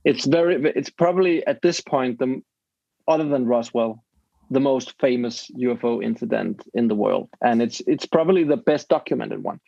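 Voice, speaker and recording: A man tells a story casually in English, often restarting his sentences and groping for the right word. His voice is gravelly and sits at an ordinary pitch. The recording sounds dry and somewhat dull. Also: moderate pace, warm tone, no room sound. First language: Danish. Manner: searches for English words, many restarts. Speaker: podcast host.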